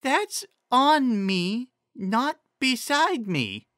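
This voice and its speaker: A man voicing an earnest young female pegasus pony, with slow, drawn-out, simple phrasing. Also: very high-pitched, big pitch swings, pushed and bright, no echo, studio quality, voice pushed up, heavily acted. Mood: earnest, tired.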